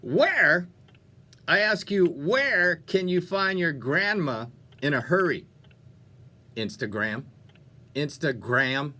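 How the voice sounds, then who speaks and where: A man's voice: a little high, expressive, slow, long pauses, sharp tone. A man hosting a music radio show with upbeat DJ patter, playful and chatty, often interrupting himself.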